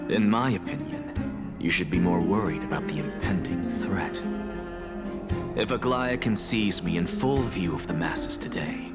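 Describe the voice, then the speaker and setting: An actor playing a sly, self-assured male scholar and schemer in a video game, smug and theatrical in manner. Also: deep voice. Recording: loud music under the voice.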